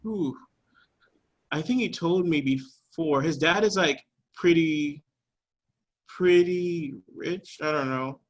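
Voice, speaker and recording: A man's voice: a little high, slow. Rambling man in loose casual chat. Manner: loose, rambling, heavy use of "like". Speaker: cast member of a radio play podcast.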